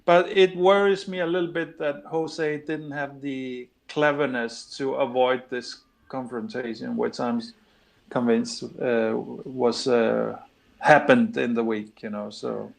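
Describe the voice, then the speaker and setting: A man's voice: medium pitch, fairly even intonation, measured pace, gravelly. A man from Denmark giving his views as a fan on a video call, hesitant, full of fillers and restarts. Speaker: fan pundit. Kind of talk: casual chat on a video call.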